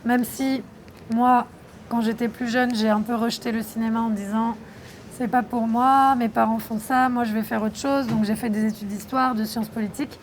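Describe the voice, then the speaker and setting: A young woman from France answering interview questions about her documentary, reflective and thoughtful, pausing often. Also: fairly high pitch, steady tone, slight background noise. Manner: reflective, thoughtful, with frequent hesitations. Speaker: documentary filmmaker being interviewed.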